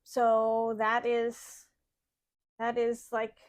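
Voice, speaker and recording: A woman co-hosting a casual stitching chat, listing her projects excitedly with fillers and restarts. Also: a little high, very slow. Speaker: podcast co-host.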